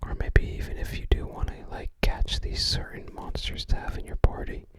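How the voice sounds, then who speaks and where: An ASMR host speaking in a breathy, unvoiced whisper, musing aloud in short, casual fragments while looking through a book. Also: moderate pace.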